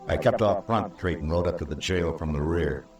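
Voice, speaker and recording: Very low male voice, stern Old West lawman acted by a man, dry and measured, radio western, echoing old recording. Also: distant mic, faint music behind, natural voice. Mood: somber narrating. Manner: measured, terse, dryly sardonic. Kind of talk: old-time radio western acting and narration.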